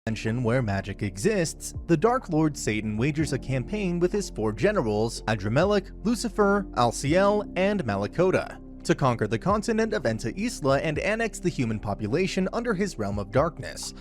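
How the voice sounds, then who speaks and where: A man's voice: a little high, sing-song, moderate pace, faint music behind. A man reading a scripted plot summary in a matter-of-fact manner, as a recap narrator.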